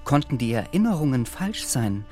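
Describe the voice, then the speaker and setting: A man narrating a literary radio play as a sober, reflective storyteller, in a measured manner. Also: medium pitch, sing-song, mellow, faint music behind, lightly acted. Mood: pensive. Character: sober, reflective male storyteller of a wartime novel.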